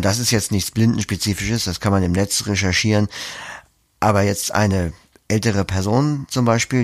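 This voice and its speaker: Young male podcast guest, very low voice with gravelly fry, explaining things methodically. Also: dry recording, clean studio sound. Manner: structured, explains with examples. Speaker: podcast producer, interview guest. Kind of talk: explanatory interview talk.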